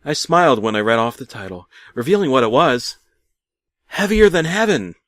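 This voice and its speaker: Animated man telling a comic horror story. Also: medium pitch, normal speed, dry recording, clean studio sound. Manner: theatrical, shifting from hushed asides to loud exclamations. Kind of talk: dramatic first-person creepypasta narration, comedic.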